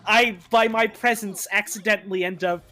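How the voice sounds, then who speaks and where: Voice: medium pitch, fairly even intonation, normal speed, bright, forceful tone. A voice actor chatting casually on a group stream with dry, wry humour.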